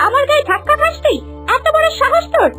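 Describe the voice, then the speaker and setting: Woman voicing a shrill scheming impostor girl. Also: lively intonation, fast talker, over music. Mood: haughty rage. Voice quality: high childlike voice. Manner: sly asides, then haughty shrill scolding. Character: scheming impostor girl posing as sweet Torulota. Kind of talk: Bengali cartoon dubbing.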